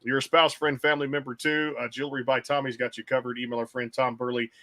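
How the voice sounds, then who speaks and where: A man's voice: medium pitch, level tone, fast, pushed and bright. A middle-aged man hosting an interview show, talking in a rambling way and repeating phrases. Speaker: podcast host.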